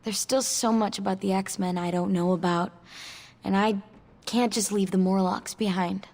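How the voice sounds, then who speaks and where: Actress as young mutant outcast, gravelly edge. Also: female voice, mid-range voice, steady tone, normal speed, a little mellow, lightly acted. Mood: uncertain. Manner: casual, candid, hesitant. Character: young female mutant, guarded, earnest outcast. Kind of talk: video game dialogue acting.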